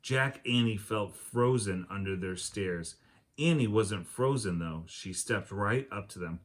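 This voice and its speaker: Low-voiced man reading a children's book aloud. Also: unhurried. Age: adult. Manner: expressive, acts out dialogue.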